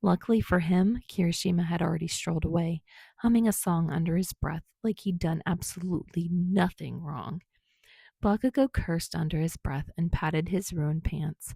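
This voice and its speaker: A woman's voice: lowish voice, moderate pace, gravelly, mellow, breathy edge, dry recording. A woman reading a story aloud as scripted audiobook narration.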